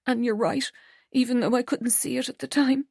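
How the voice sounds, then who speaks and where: A woman's voice: a little high, steady tone, normal speed, gravelly, darkish tone, slightly rough, no echo, clean studio sound, voice pushed up. A woman reading an audiobook, voicing a heartbroken young woman who turns tearful and bitter. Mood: subdued. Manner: tearful, pleading then cold and clipped.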